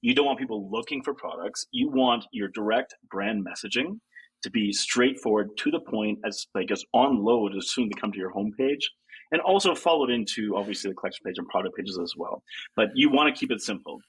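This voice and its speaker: A man's voice: on the low side, animated, a little fast, breathy edge, rough recording. A man, a podcast guest, talking casually and filling his sentences with "like". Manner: chatty, leans on "like", laughs easily. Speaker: guest, ecommerce consultant.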